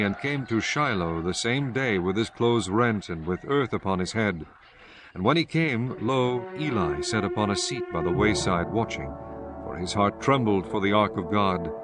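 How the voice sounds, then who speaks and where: Man, lowish voice, solemn scripture narration, measured reading, clear background music.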